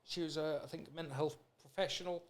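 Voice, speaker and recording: A man's voice: on the high side, steady tone, fast talker. A British man hosting a podcast in a casual, rambling, anecdotal way. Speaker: podcast host. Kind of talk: casual chat.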